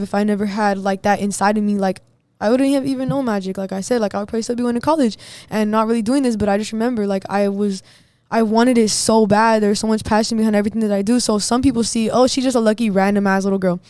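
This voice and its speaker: Young woman, deep voice, blunt, defiant, slangy, dry close sound. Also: fairly even intonation, fast, a little mellow, clear, resonant tone, dry recording. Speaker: singer and guest. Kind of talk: casual podcast chat.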